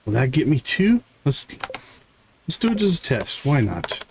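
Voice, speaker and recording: A man's voice: medium pitch, big pitch swings, normal speed, creaky, husky. A man giving casual live game commentary, thinking aloud in short fragments. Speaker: game streamer.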